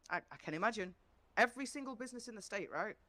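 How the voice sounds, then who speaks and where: A woman's voice: medium pitch, animated, rapid-fire, pushed and bright, no echo. A proud, hardworking business manager in an online role-play game as acted out by a woman. She is earnest and courteous. She stands her ground insistently while staying polite. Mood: polite, appreciative. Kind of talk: improvised in-character role-play conversation.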